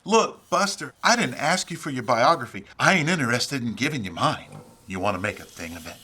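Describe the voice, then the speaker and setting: A man's voice: medium pitch, lively intonation, fairly quick, sharp tone, a little hiss or hum. An actor playing a surly, streetwise 1940s tough guy in a noir radio play, curt and needling in his slang. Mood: surly, menacing.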